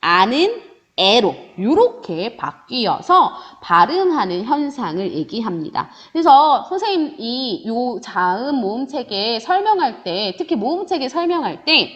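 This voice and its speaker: A woman's voice: a little high, measured pace. A woman teaching a recorded grammar lesson, asking and answering her own questions in a rising and falling teacherly tone. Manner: lively, rising and falling teacherly sing-song, voices student questions herself. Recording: dull lo-fi recording.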